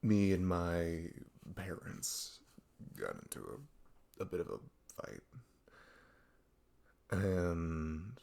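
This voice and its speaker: A man playing a troubled, apologetic best friend in an intimate ASMR roleplay, halting, stammering and sighing. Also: low-pitched, fairly even intonation, vocal fry, a little mellow, full character voice. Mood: downcast, hesitant.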